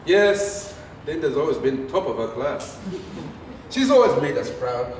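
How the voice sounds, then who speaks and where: A man's voice: medium pitch, very animated, a little slow. An actor playing a stern, proud, wealthy father in a stage play, scolding his household with authority. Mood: placating. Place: theatre stage, recorded from a distance. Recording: echoey theatre hall from far off, faint noise.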